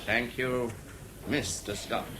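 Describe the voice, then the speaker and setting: An actor with an English accent playing a formal, probing prosecuting barrister in a 1970s British radio drama, deliberate and insistent with weighty pauses. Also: male voice, mid-range voice, flat delivery, bright, forceful tone. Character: probing, theatrical male prosecuting barrister in court. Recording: faintly noisy old radio broadcast.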